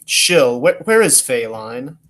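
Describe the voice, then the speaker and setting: A man's voice: medium pitch, big pitch swings, slow, a bit bright. A man playing an earnest, puzzled detective hero in the style of a 1940s radio detective play. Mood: probing.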